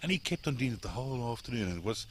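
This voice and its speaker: A man's voice: medium pitch, very animated, very fast, a little hiss or hum. A South African man working as a safari tour guide, telling rambling campfire stories with chuckles and restarts. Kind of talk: campfire storytelling. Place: outdoors by a campfire at night. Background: crickets chirping.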